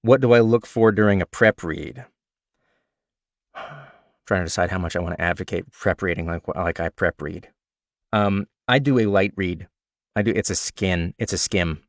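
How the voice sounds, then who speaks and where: Male narrator, slightly raspy and low-pitched, relaxed chatty delivery, dry close recording. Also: moderate pace, long pauses, dry recording. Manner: relaxed, chatty, self-described nerd with frequent fillers. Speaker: audiobook narrator sharing tips. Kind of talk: casual Q&A livestream talk.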